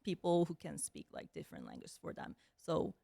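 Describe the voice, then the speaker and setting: A woman's voice: low voice, huge pitch swings, brisk, gravelly, mellow, dry recording, clean studio sound. A young woman from South Korea, a guest in a casual interview, talking freely with lots of "like" and "um" fillers and frequent laughter. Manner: chatty, many "like" and "um" fillers, laughs often.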